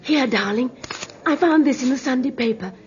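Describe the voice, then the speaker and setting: An actress plays an earnest young sweetheart in 1950s radio style. Her voice is fairly high, full of romantic, melodramatic yearning. The recording is old and dull. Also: normal speed, close to an everyday voice. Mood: hopeful.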